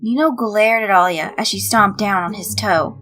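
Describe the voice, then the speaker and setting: Bright-voiced woman narrating a story. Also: mid-range voice, normal speed. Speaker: fan fiction audiobook reader. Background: background music.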